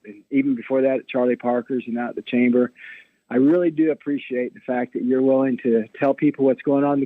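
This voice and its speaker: A man's voice: mid-range voice, dry recording. An American man phoning in to a radio show, speaking casually over a telephone line. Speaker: radio phone-in caller. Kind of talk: casual phone chat. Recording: dull band-limited phone line.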